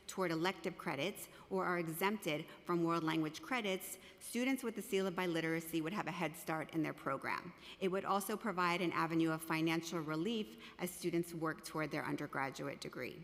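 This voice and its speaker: Russian-born lady prof testifying, measured and formal, lowish voice, big echoey chamber. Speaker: professor testifying at a hearing. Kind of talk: prepared testimony. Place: council chamber. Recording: long reverb of a large hall.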